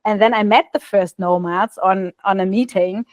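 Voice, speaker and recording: Animated woman on a dry video call. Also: mid-range voice, moderate pace, no echo. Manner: animated, gestures a lot, frequent restarts and "no?" tags. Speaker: podcast guest. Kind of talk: casual interview storytelling. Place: bright room indoors.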